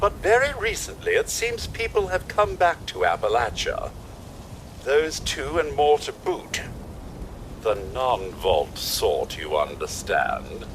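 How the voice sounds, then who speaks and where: An actor voicing a polite, fussy robot butler in a video game, speaking in a formal, genteel, wordy manner with kindly worry. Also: male voice, mid-range voice, huge pitch swings, takes it slowly, a bit bright, slight background noise, full character voice, rough recording. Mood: concerned. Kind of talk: scripted video game dialogue.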